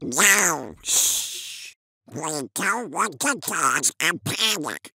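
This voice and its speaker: A cartoon duck courtier, flustered and secretive. The voice is a strained, creaky rasp that draws words out slowly. It squawks with urgency, like someone guarding a secret. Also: medium pitch, sing-song, dry recording, clean studio sound, soft background music, heavily acted. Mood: anxious, secretive. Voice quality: raspy, squawking. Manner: urgent, hushed, conspiratorial. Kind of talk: manga dub voice acting.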